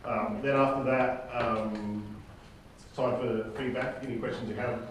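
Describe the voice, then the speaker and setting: A man's voice: mid-range voice, steady tone, unhurried. A man giving a casual, loosely rambling briefing as the organizer of a table read. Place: reverberant room with a group. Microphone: distant room microphone. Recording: echoey room, far mic, noisy background.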